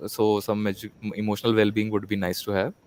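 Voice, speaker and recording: A man's voice: lowish voice, level tone, fast talker, darkish tone, smooth. A man speaking as a panel guest in a hesitant, thoughtful manner. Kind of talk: unscripted panel discussion.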